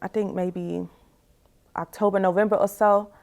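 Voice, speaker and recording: A woman's voice: medium pitch, level tone, normal speed, gravelly, darkish tone. A woman in her forties giving a reflective, candid personal testimony as an interview guest, in a conversational way, often saying "you know". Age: 40s.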